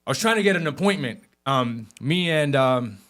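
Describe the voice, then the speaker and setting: A man's voice: medium pitch, expressive, moderate pace, a bit bright. A man hosting a podcast interview, telling a story in a rambling, hesitant way with many fillers. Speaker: podcast host.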